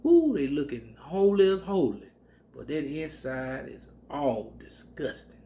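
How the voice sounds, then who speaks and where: Gravelly man preaching with loud whoops. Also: fairly high pitch, measured pace. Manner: animated, bursts into loud whoops; colloquial Southern speech. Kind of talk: informal scripture teaching.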